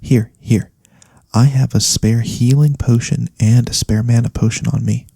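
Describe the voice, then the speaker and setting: A man playing a gentle, caring elf cleric in a soft-spoken ASMR fantasy roleplay, soothing and attentive, with slightly formal phrasing. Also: mid-range voice, steady tone, measured pace, gravelly, slightly rough, no echo, clean studio sound. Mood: concerned, soothing. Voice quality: soft-spoken, breath-light delivery. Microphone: close studio USB condenser microphone.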